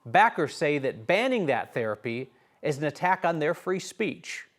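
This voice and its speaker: A man's voice: a little high, very animated, normal speed, a bit bright. An American man anchoring a newscast with scripted news narration.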